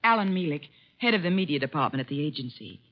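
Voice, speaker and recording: An actress playing an embittered, emotional secretary in a vintage radio drama, with an intense, melodramatic delivery. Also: female voice, deep voice, lively intonation, fast. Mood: anxious, distressed. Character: emotional, embittered woman secretary with wounded pride. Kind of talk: 1940s-50s radio drama acting. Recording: dull vintage radio recording.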